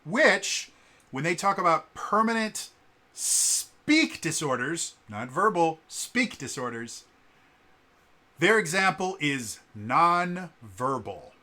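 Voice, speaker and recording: A man with a bright, forward voice talks casually to camera, and his stutter breaks in on words now and then. His delivery is halting, full of ums and uhs, with an earnest, indignant edge. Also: on the high side, sing-song. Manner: halting, many fillers and repeated sounds. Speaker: vlogger. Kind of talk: casual spoken commentary to camera.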